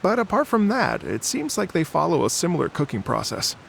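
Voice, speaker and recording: An actor playing a polite, dreamy travelling musician in a video game, courteous, hesitant and slightly flustered. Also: male voice, a little high, wildly swooping pitch, brisk, vocal fry, a bit bright, slight background noise, full character voice.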